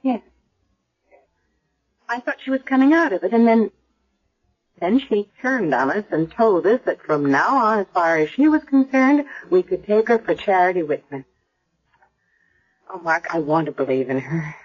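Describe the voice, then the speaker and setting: An American actress playing a caring, earnest, gently persistent woman doctor in a radio drama. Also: mid-range voice, animated, normal speed, long pauses, breathy edge. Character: caring, earnest, probing female hospital doctor. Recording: dull vintage radio broadcast.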